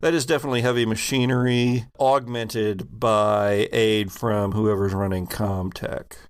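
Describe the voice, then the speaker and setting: A man running a tabletop game as game master, improvising narration with halting, deliberate pauses mid-phrase. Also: fairly low pitch, fairly even intonation, clean tone.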